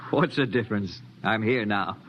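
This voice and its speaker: An actor playing a cheerful, evasive brother with an American accent and boyish, folksy manner in a 1950s radio drama style. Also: male voice, on the low side, slow pace. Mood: breezy, evasive. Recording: noisy, muffled old radio recording.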